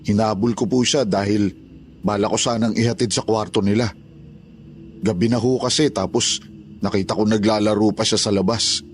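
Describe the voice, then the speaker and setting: A man's voice: lowish voice, steady tone, moderate pace, a little hiss or hum, natural voice. A man playing a friendly, respectful orphanage night guard in a dramatized horror story, with a polite, hesitant manner. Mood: respectful, flustered.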